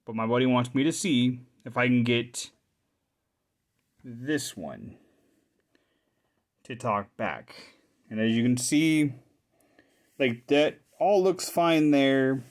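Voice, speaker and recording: Male hobbyist repairer, medium pitch, casual and unscripted, talks through what he is handling in short halting bursts. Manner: informal, thinking aloud in short halting phrases. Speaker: hobbyist repairer presenting his own video. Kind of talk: casual hands-on show-and-tell commentary.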